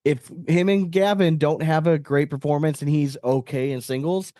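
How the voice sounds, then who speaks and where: A man's voice: on the high side, fairly even intonation, moderate pace, warm tone, no echo, clean studio sound. A man hosting a podcast in casual sports chat, talking in a slangy, opinionated, conversational way. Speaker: podcast host.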